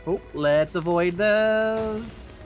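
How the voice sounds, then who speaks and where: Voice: medium pitch, extremely slow. A let's play host giving casual game commentary, muttering reactions aloud along the way. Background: game music.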